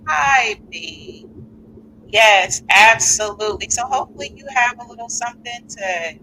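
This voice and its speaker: Bright-voiced chatty woman host. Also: on the high side, unhurried, slight background noise, poor audio. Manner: animated, chatty, reads out comments. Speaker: podcast host. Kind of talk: live call-in chat.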